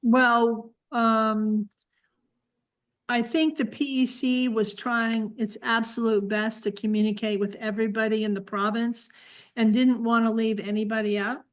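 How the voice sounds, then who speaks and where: A woman's voice: fairly high pitch, level tone, a little slow. A woman presenting an informal briefing and answering questions as she goes.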